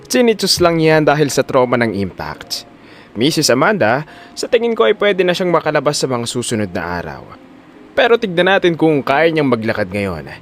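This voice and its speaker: Smooth, calculating male doctor, voiced by a male narrator; measured professional talk with animated pitch. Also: a little hiss or hum. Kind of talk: Filipino audio drama acting.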